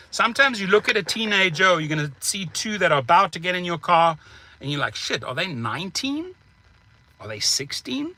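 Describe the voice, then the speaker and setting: Emphatic man with a South African accent. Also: high-pitched. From United States. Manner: emphatic, animated, gestures while talking. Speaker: rideshare driver and channel host. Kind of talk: casual vlog rant to camera. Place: parked car, indoors. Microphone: phone held at arm's length.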